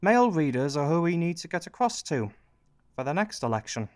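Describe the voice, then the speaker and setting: A man's voice: on the high side, big pitch swings, fairly quick, darkish tone. A man playing a cynical, self-pitying prime minister in a satirical radio play, sardonic and bitter as he confides in the audience. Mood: smug, breezy.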